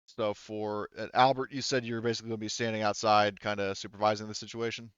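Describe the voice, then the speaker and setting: A man with a fairly low, clean voice acts as game master. He describes scenes off the cuff, halting and rambling as he goes. The sound is close and dry, a little muffled. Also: flat delivery, fairly bright tone, clean tone, no room sound. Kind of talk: casual improvised scene description for a tabletop game.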